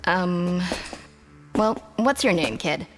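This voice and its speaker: A woman's voice: on the low side, animated, takes it slowly, soft background music. A woman playing a sardonic, snappy young female demon guard in an audio drama. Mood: condescending, prying. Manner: sarcastic, exasperated, swooping.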